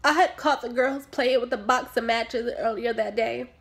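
A woman's voice: a little high, steady tone, moderate pace, fairly bright tone. A woman performing a dramatic monologue as a grieving, defensive mother, speaking in emphatic, pointed phrases. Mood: distraught. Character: grieving, defensive mother questioned about her sanity.

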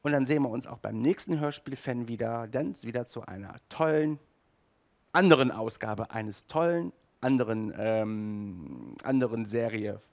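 A man in his thirties hosting a casual video review, chatty and halting, with emphatic asides, speaking into a headset mic. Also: mid-range voice, a little mellow. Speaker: host of an audio drama review show. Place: small furnished room indoors.

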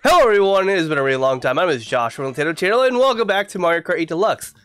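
A young man with a congested voice from the flu, giving casual, excitable commentary as he plays a racing game at home on a desk mic. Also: high-pitched, very fast, clean tone, no room sound. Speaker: gaming YouTuber. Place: home gaming room. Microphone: desk mic, face camera.

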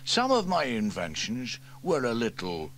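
A man's voice: mid-range voice, wildly swooping pitch, a little slow, fairly bright tone, slight background noise, pitched above the performer's own voice, heavily acted. A man doing a pompous inventor fireman. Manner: grand, self-important. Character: proud adult male inventor fireman. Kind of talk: storybook reading.

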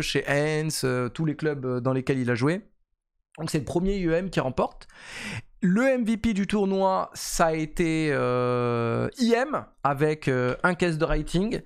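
A man's voice: on the high side, clear, resonant tone. A man in his thirties casually hosting esports news, chatty and emphatic, with lots of fillers, into a studio mic in a home streaming room. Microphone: studio mic on boom arm.

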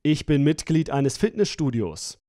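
A man reading short lesson phrases in a calm, measured way. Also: on the high side, no room sound, clean studio sound. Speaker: language lesson speaker.

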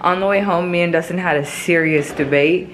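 A woman's voice: lowish voice, a little fast, a little hiss or hum. A woman vlogging from her home kitchen, chatting and rambling with frequent laughs. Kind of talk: casual chat to camera.

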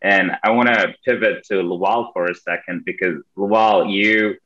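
A man's voice: low-pitched, fairly even intonation, moderate pace, sharp tone, dull audio. A man moderating a panel over a video call, hesitant with fillers.

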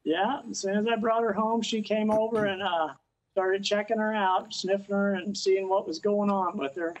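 A man's voice: high voice, fairly even intonation, rough recording. Plain-spoken man on a video call. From Missouri. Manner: plain, unhurried, pauses with "uh". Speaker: dog owner, interview guest. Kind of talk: casual remote interview. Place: outdoors on a house porch.

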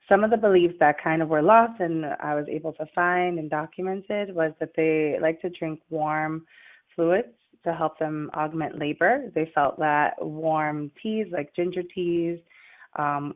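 A young woman from Puerto Rico, a midwifery student, giving a halting recorded class presentation full of uhs and restarts. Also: low voice. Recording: tinny phone-like audio.

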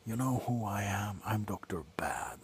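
A tipsy man playing a callous, grumpy doctor in a slow, sullen ASMR roleplay, whispering sarcastically up close. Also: on the low side, slight background noise, natural voice. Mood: sarcastic, irritated. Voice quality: breathy whisper. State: drunk. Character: grumpy, callous, drunken male doctor on a house call. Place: small cluttered room indoors.

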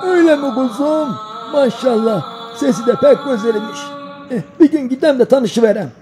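Man as a high-pitched doting old Denizli grandpa. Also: normal speed, music in the background. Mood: fond, chatty. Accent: Denizli, southwestern Turkey. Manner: folksy, doting, encouraging. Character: kindly old village grandfather quizzing his grandchildren. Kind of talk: educational cartoon dialogue.

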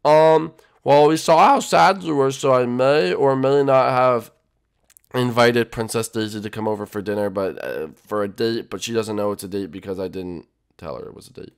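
A man voicing a bossy, scheming young turtle monster boy in an amateur comedy skit, stammering and excitable. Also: mid-range voice, normal speed, clear, resonant tone, natural voice. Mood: sheepish, hurried.

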